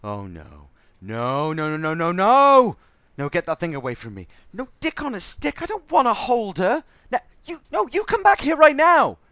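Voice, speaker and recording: A man's voice: high-pitched, very animated, normal speed, a bit bright, dry recording. An actor playing an exasperated, sarcastic, foul-mouthed crewman in a science fiction audio drama. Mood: panicked protest. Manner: sardonic, ranting.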